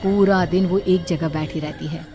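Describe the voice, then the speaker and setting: Low-voiced woman narrating horror. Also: fairly even intonation, normal speed, over music. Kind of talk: scripted horror story narration.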